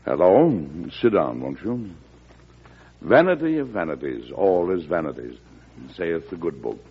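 A wartime American president with a low, husky voice. He speaks in a measured, folksy American way, firm and dryly wry, on a muffled vintage radio recording. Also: sing-song, heavily acted. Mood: genial storytelling. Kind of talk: old-time radio drama acting, storytelling.

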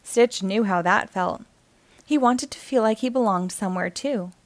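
A woman's voice: medium pitch, moderate pace, dry recording. A woman reading a children's story aloud as scripted narration.

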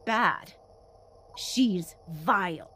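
An actress playing a cruel, haughty, bitter empress in an audio drama, with an imperious, scornful, theatrical manner. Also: female voice, on the low side, drawn-out words, music in the background. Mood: proud, bitter. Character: cruel, haughty empress, bitter toward her step-sister.

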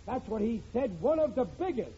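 A man's voice: extremely high pitch, very animated, brisk, dark tone, husky, full character voice. An actor playing a boastful, bumbling middle-aged father in an old radio sitcom, blustering and stammering when cornered. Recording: muffled, hissy vintage radio recording.

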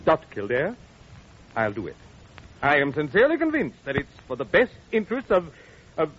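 An actor playing a pompous, money-hungry blue-blood hospital director, fussy and hesitant, in 1940s radio style. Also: male voice, on the high side, huge pitch swings, a little fast, a bit bright, breathy edge, exaggerated voice. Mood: fussy, evasive. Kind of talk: 1940s radio comedy-drama acting. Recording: muffled, hissy old radio broadcast.

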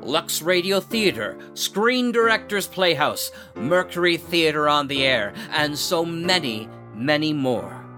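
A man's voice: fairly high pitch, very animated, a little slow, few pauses, music in the background. A man hosting a radio theater show, giving a formal, showman-like scripted introduction. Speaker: host.

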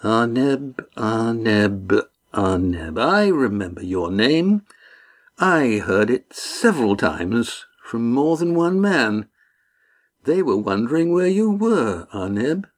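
A man voicing a menacing, sneering ship's master in an audiobook, with a smooth, taunting, overbearing manner. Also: mid-range voice, very animated, slow pace, exaggerated voice. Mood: menacing mockery. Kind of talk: audiobook character dialogue.

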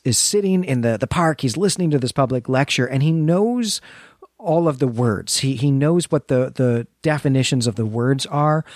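A man co-hosting a discussion show, talking through ideas in a conversational, thoughtful and halting way as he explains. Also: medium pitch, darkish tone, dry recording, clean studio sound. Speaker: podcast co-host discussing fiction.

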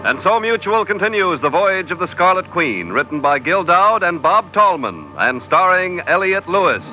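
A man's voice: high voice, very animated, normal speed. A man announcing in a grand, declamatory 1940s radio style, delivering a dramatic programme introduction. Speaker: show announcer. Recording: dull vintage radio recording, loud music behind.

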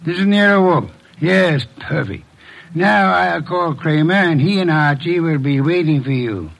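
A shrewd, condescending detective in an old radio mystery. His voice sits fairly high with a gravelly creak, and he speaks in formal, precise, pompous sentences. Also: a little slow, fairly bright tone. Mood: brisk, authoritative. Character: shrewd, imperious middle-aged detective, dry and condescending. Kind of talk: classic American radio mystery acting. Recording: old radio drama recording.

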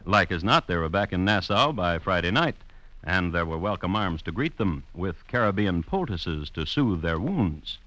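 Low-voiced, animated male radio storyteller. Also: low-quality recording. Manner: wry, storytelling with dramatic pauses. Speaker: narrator and host. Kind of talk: scripted 1950s radio narration.

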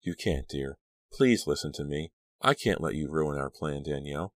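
Man doing a creepy fake aunt in an audiobook, coaxing but firm n blunt, low voice, very dry sound. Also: moderate pace, no echo. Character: sinister small woman posing as a gentle aunt, firm.

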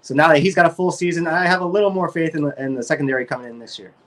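Higher-pitched, quick American man. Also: fairly bright tone. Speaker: sports show panelist. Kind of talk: casual sports talk.

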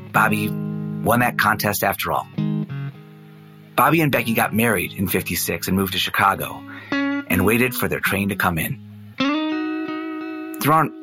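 A man narrates with a low voice in a measured, folksy storytelling style. He plays a chronicler of an old music legend, with plucked guitar clearly behind him. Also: bright, forceful tone. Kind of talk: scripted narration.

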